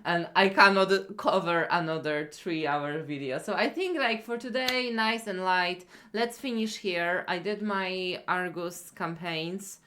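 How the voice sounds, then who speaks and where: Low-voiced woman streamer. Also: measured pace, few pauses, sharp tone. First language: Polish. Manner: rambling, many fillers and restarts. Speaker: streamer reacting to a video. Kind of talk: casual reaction commentary.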